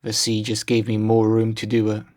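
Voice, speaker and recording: A man playing a world-weary, guarded lieutenant at sea after the apocalypse, telling his story in a brooding, deliberate way. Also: lowish voice, monotone, darkish tone, natural voice. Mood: guarded, haunted. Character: world-weary, guarded male post-apocalyptic seafaring lieutenant, haunted survivor. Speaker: narrating game character. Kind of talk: scripted narration.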